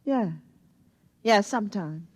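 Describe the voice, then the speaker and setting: A woman's voice: mid-range voice, animated, slow pace, dry recording. An actress playing a wary, street-wise young bar girl with slangy, guarded talk in a 1950s radio drama. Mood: nervous, submissive. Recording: 1950s radio broadcast with music.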